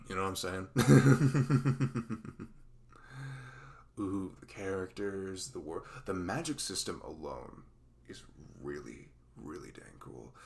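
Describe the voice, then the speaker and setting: A man playing a dorky, playful male best friend in an ASMR roleplay, with a velvety voice and a halting, rambling, teasing manner. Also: low-pitched, vocal fry, heavily acted.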